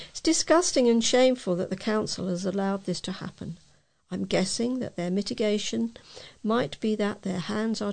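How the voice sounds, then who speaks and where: British female volunteer reader for printed letters, mid-pitched with gravelly fry. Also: darkish tone. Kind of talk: reading readers' letters aloud.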